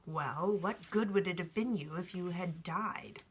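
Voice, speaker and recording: A woman voicing a calm, wise male alchemist in a storybook read-aloud, hushed, measured and gently instructive. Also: lowish voice, a little hiss or hum, lightly acted. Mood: stern, instructive.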